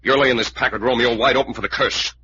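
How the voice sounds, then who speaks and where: An actor playing a bitter bedridden man, a gruff, bullying older brother with blunt, slangy talk, in an old radio drama. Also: medium pitch, fairly even intonation, quick pace, sharp tone, close to an everyday voice. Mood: bitter, resentful. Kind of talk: 1940s radio drama acting. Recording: muffled old radio recording, faint noise.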